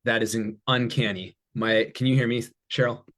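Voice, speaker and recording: A man with a medium-pitched voice talks informally as a guest executive on a promotional call. He sounds enthusiastic and emphatic, keeping a conversational flow as he pitches his idea. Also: steady tone, moderate pace, a bit bright. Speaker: head of business development, guest speaker.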